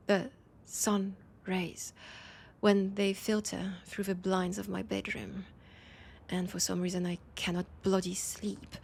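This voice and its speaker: A woman with a French accent playing an ancient, proud and wistful vampire veteran in an intimate audio roleplay, with a husky, breathy voice and lingering pauses. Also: medium pitch, slight background noise. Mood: wistful, yearning. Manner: slow, sighing, lingering pauses.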